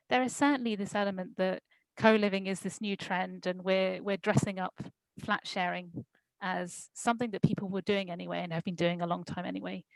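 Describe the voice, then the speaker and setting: A woman speaking at a conference, answering questions in a thoughtful, measured way with frequent pauses and ums. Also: mid-range voice, no echo, low-quality recording. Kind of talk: Q&A discussion.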